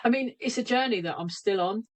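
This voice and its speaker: A woman's voice: on the high side, a little fast. Candid woman, remote guest. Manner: candid, self-correcting, frequent restarts. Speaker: hypnotherapist guest. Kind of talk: reflective interview talk. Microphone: remote call recording.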